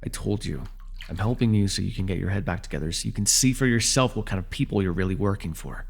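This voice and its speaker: Man acting out a low, gravelly reluctant villain. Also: heavily acted. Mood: guarded, bitter. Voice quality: gravelly, husky. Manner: soothing, measured, with wry sarcastic edge. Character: jaded fallen-hero villain, male telepath, protective and reluctantly tender. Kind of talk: superhero ASMR roleplay, intimate dramatic acting.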